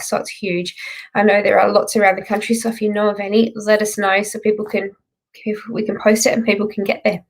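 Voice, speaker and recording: A woman's voice: medium pitch, gravelly, poor audio. A woman hosting a live-streamed country music show, chatting and greeting viewers by name.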